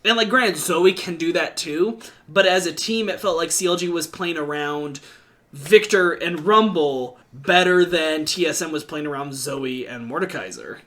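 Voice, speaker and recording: A man hosting a casual esports podcast, talking quickly with restarts and the odd swear word. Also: fairly high pitch, bright, forceful tone. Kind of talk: casual esports analysis chat.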